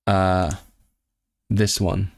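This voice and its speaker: A man's voice: deep voice, flat delivery, very slow. Chatty man on a stream. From Hong Kong. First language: Cantonese. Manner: thinking aloud, many restarts and rising questions to chat. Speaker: language-learning streamer. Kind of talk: casual live-stream chat reading viewer comments.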